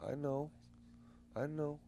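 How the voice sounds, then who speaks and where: A man co-hosting a call-in show, animated and folksy, rallying listeners in a casual way. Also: a little high, slow. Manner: animated, folksy, preachy promoting. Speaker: co-host.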